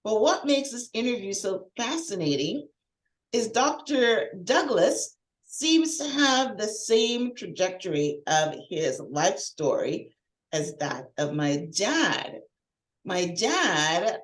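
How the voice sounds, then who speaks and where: Guyana-raised woman host, low-pitched, lively interviewer; roomy, distant mic sound. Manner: lively, laughs easily, interjects with quick questions. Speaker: podcast host. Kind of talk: interview podcast, reading a guest introduction then chatting. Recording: echoey room, voice a bit off-mic.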